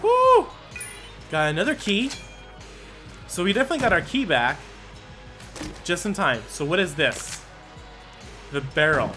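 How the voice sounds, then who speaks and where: A man giving let's play commentary, thinking aloud, reading item text and breaking into quick excited exclamations. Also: high-pitched. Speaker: gaming channel host. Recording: game music playing underneath. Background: game music.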